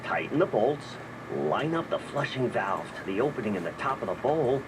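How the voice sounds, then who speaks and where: A man plays a gentle father reading aloud in a calm storytelling tone, his pitch rising and falling lively. The ad has a clear hiss under it. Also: moderate pace, lightly acted. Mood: steady reading. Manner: calm storytelling read, sheepish asides. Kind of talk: scripted radio ad. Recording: noisy background hiss.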